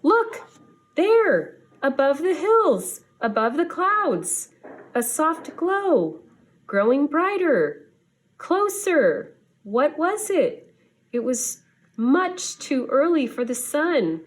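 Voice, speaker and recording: A woman reading a children's story aloud in an expressive, deliberate teacher's manner. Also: sits high. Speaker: schoolteacher reading to students.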